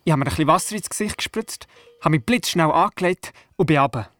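A man's voice: a little high, expressive, fast, fairly bright tone, no room sound. A man with a Swiss German accent playing a young, curious boy who narrates and talks in a radio play. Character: young boy on holiday at his grandmother's, curious.

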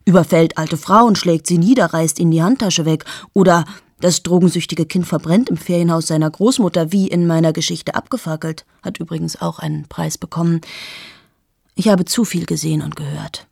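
A woman's voice: steady tone, brisk, darkish tone, no room sound, studio quality. An actress in her thirties playing a cold, cynical journalist in a radio play monologue, confiding and often dropping to a bitter whisper. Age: 30s. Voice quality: husky, gravelly low voice.

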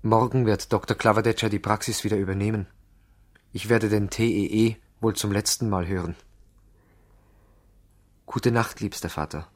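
An actor with a Swiss German accent playing an earnest, idealistic young doctor in a 1970s radio play, speaking in a measured, formal way. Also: male voice, on the low side, fairly even intonation, natural voice. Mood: concerned, subdued.

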